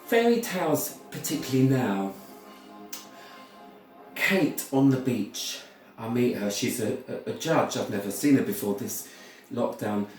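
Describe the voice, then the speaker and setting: British man, informal storytelling talk, theatrical, halting, opinionated, distant microphone, very reverberant room. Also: mid-range voice, expressive, a little mellow, faint music behind. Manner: theatrical, halting, repeats words, opinionated asides. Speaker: ballet storyteller and performer. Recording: very echoey room, far from mic.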